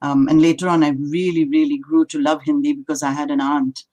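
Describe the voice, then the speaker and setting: An older woman from India with an Indian accent, a native Marathi and English speaker, telling stories as an interviewed poet in a thoughtful, halting, lighthearted way. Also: low voice, level tone, dark tone. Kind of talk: reflective conversational storytelling.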